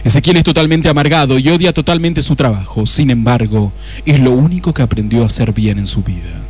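A medium-pitched male narrator sets the scene of a detective spoof , played by a man. His delivery is theatrical, wry and mock-solemn, with an Argentine accent and faint music behind. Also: lively intonation, fairly quick, darkish tone, slightly rough. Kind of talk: scripted radio play narration.

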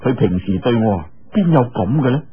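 A man's voice: mid-range voice, big pitch swings, fairly quick, narrow, tinny audio, slight background noise, natural voice. A man voicing the inner thoughts of a lovelorn, anxious young swordsman in an audiobook, self-mocking and full of sighs and exclamations. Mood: wistful. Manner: sighing, exclaiming.

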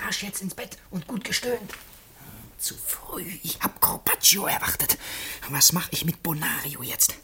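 An actor playing a sly young parasite in a classic stage comedy, theatrical, insinuating and emphatic. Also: male voice, on the high side, lively intonation, unhurried, pushed and bright, in a whisper, full character voice. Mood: conspiratorial. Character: sly scheming young male parasite, smooth flattering intriguer.

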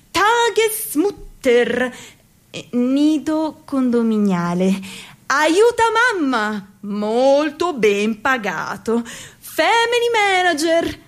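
A woman performing theatrical narration for a radio piece, with expressive swings in pitch and loudness.

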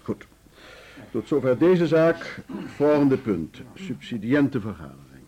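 An actor in a Dutch radio play, playing a formal, courteous older board chairman who speaks in a measured, diplomatic way. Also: medium pitch, big pitch swings, warm tone. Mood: businesslike.

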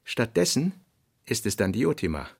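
A man's voice: mid-range voice, very animated, moderate pace, creaky, slightly rough. A man reading scripted literary narration in a radio play.

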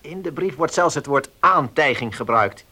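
A fussy formal notary in a radio drama, highish sharp voice, officious. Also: male voice, lively intonation, normal speed, slightly rough, full character voice. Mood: guarded satisfaction. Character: formal, cautious male village notary. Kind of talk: Dutch radio play acting.

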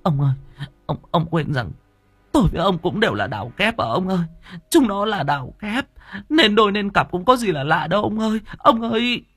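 A man's voice: high voice, very animated, brisk, a bit bright, breathy edge, dry recording, clean studio sound, voice pushed up, heavily acted. A man voicing a tearful middle-aged wife and mother in an audio story, pleading in repeated wails. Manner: wailing, repetitive pleading. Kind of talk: acted dialogue in audio storytelling.

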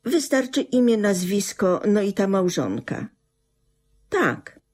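A radio drama portrait of an unsentimental wife in middle age. Her voice is husky and slow, yet swings widely in pitch. She is matter-of-fact and brushes others off coldly. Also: big pitch swings, a little mellow. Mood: businesslike. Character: cold, unsentimental middle-aged wife, widowed and relieved. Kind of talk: radio play acting.

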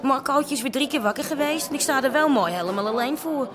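A woman's voice: sits high, expressive, quick pace, a crowd in the background. An actress playing a tired, aggrieved young mother who works in a café, complaining and snippy, in a Dutch radio drama. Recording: music playing behind the voice.

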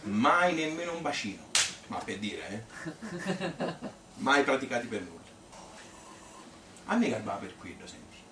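A man's voice: mid-range voice, big pitch swings, measured pace, pauses often. Man playing a chatty Tuscan grandmother, echoey and distant. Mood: amused, nostalgic. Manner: rambling, colloquial, repetitive, with little interjections. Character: old Tuscan grandmother reminiscing fondly, chatty, feisty, devoted wife. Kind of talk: spontaneous-sounding theatrical monologue. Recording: echoey, distant, noisy stage recording.

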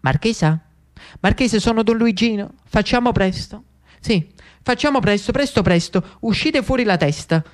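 A man's voice: sits high, expressive, moderate pace. A man voicing an indignant, preachy priest in comic solo theatre, urgent, repetitive and threatening. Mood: impatient.

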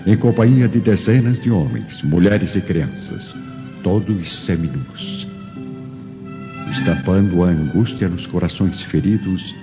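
A man's voice: low voice, warm tone. A man giving solemn, theatrical scripted narration for a drama. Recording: muffled audio with faint music.

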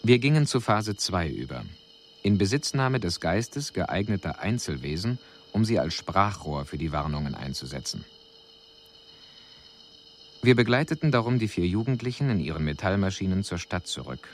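An actor playing a detached alien envoy who delivers a formal, precise, clinical report in a radio drama. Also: male voice, deep voice, sing-song, moderate pace, slight background noise. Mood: detached, grave.

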